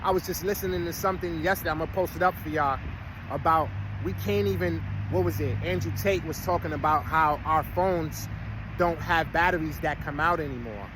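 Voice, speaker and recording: A man's voice: on the high side, a little fast. A man about to turn forty, talking casually to his followers in a rambling, streetwise way with preachy asides. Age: 30s. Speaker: vlogger. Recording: outdoor street noise, vehicles passing.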